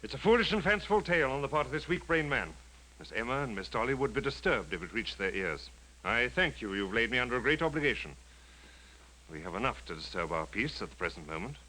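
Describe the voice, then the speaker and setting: An actor playing a formal, dismissive gentleman master in period radio drama style. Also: medium pitch, animated, fast talker, a little hiss or hum, rough recording. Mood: grave, dismissive.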